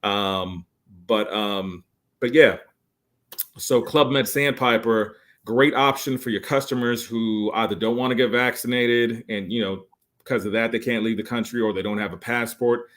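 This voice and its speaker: A man in his late forties, a resort sales guest on a podcast, giving an enthusiastic pitch full of fillers and restarts. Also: fairly even intonation, moderate pace, a bit bright. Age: 40s. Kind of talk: podcast interview.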